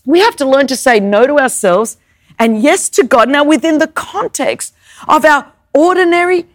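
A woman's voice: high-pitched, dry recording, studio quality. A woman whose first language is Greek, preaching an emphatic sermon with rhetorical questions and repeated words. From Greek Orthodox family background.

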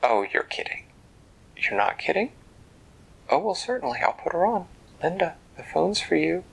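A storyteller voicing a girl's mother, lifting the voice higher to exclaim. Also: big pitch swings, slow pace, poor audio. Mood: astonished. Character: excited mother of a young girl.

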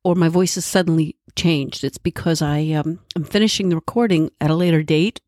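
A woman's voice: low-pitched, fairly quick, a little mellow, dry recording, studio quality. A woman podcast host with a congested, nasal voice from a cold, giving a friendly solo explanation with asides. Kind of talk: solo educational podcast talk.

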